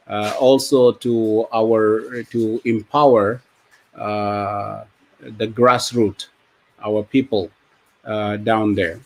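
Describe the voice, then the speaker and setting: Halting man speaking at a meeting. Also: fairly low pitch. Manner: halting, many fillers, switches into Arabic. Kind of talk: online community meeting talk.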